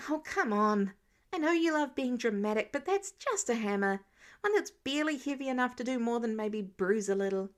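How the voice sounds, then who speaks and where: Woman playing a high-pitched, indignant teenage boy. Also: lively intonation, moderate pace, lightly acted. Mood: fed up. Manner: indignant, dramatic. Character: angry, exhausted teenage boy intern, exasperated and sarcastic.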